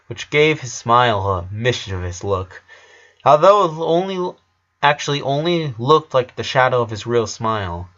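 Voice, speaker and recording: A man's voice: medium pitch, fairly bright tone. A man reading a story aloud as narrator, in the style of an amateur audiobook. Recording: slightly dull lo-fi recording.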